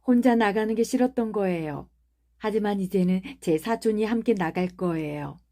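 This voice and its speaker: A woman's voice: mid-range voice, moderate pace, mellow, pitched above the performer's own voice, natural voice. Bossy, sickly young boy who talks like a little prince, read by a woman narrator; audiobook. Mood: imperious. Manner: commanding, demanding. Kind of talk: audiobook character reading.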